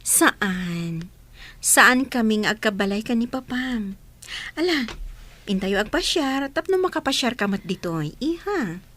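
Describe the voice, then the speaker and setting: An actress playing a kind, gentle woman who reassures a child and plans to be her stepmother. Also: on the high side, expressive, measured pace.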